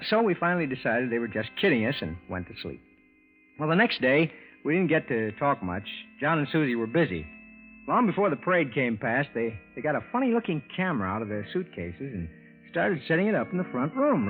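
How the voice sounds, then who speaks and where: A man's voice: medium pitch, sing-song, fairly quick, lightly acted. An actor as Frank, folksy old-time radio everyman, tinny sound. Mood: folksy recounting. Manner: folksy, hesitant, conversational. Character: Frank, ordinary good-natured husband telling a strange tale. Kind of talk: 1940s radio acting, first-person narration. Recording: tinny vintage radio broadcast with music.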